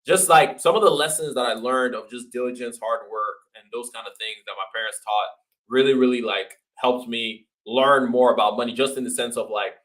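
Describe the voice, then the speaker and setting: A man's voice: medium pitch, normal speed, fairly bright tone, poor audio. A man from Nigeria who moved to the States as a boy, chatting as an interview guest in an informal, slangy storytelling way. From Nigeria until age twelve, then the United States. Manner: informal, slangy, quoting himself and others. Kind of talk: casual storytelling chat.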